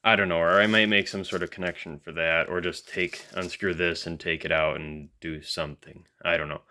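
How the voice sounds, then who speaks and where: A man's voice: low voice, steady tone, fairly quick, sharp tone. A man giving casual, off-the-cuff hands-on commentary as a hobbyist video host, musing and thinking aloud as he goes.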